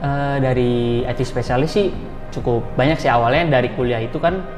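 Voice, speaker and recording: A young man talks very quickly as he answers casual interview questions. He explains step by step in informal wording. His voice rings in a roomy, reverberant space with music clearly behind it. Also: medium pitch. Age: 20s. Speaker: interview guest, IT specialist.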